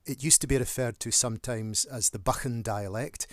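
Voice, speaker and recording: A middle-aged man with a northeast Scottish accent, a church minister giving a measured, earnest introduction to his channel. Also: mid-range voice, dry recording, clean studio sound. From northeast Scotland.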